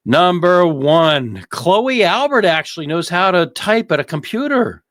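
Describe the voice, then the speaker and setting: An American man hosting unscripted legal commentary, speaking haltingly and repeating phrases for emphasis. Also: sits high, sing-song, fairly bright tone, dry recording. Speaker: host, lawyer commentating on a trial.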